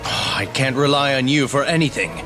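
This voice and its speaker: An actor playing a menacing lord who schemes for the throne in a fantasy game, imperious and theatrical. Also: male voice, medium pitch, lively intonation, moderate pace, music in the background, natural voice. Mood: impatient, menacing.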